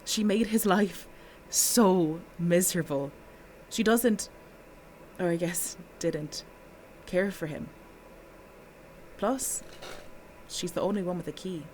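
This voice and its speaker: A woman's voice: fairly low pitch, slow pace, pauses often, darkish tone, slight background noise, rough recording. An actress playing a bitter, exasperated female witness in an audio drama, blunt and indignant. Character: bitter, exasperated woman giving a witness statement.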